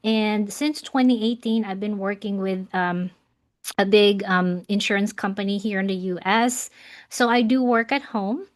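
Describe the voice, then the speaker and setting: A woman in her forties, raised in the Philippines, talks as a guest in a casual interview. She speaks earnestly at a middling pitch and fills her pauses with ums. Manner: earnest, many ums, warm thanks. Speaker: guest life coach.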